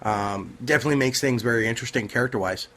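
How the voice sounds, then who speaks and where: A man's voice: medium pitch, fast talker, fairly bright tone. A man in casual conversation as a podcast panelist. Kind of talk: casual chat.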